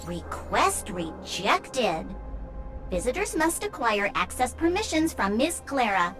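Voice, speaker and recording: Slow, formal robot gatekeeper. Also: female voice, on the high side, sing-song, fairly bright tone, heavily acted. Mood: impassive, procedural. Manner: formal, measured, mechanical phrasing. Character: dutiful procedural robot gatekeeper in a sci-fi game. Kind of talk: game voice acting. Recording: faint music behind.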